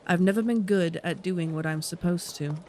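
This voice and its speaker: A man's voice: sits high, normal speed, a little mellow, a little hiss or hum, close to an everyday voice. A man voicing a proud, defiant elf woman in an audio drama, with a cold, clipped delivery. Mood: guarded, wry. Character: proud, defiant female elf outcast, centuries old, cold.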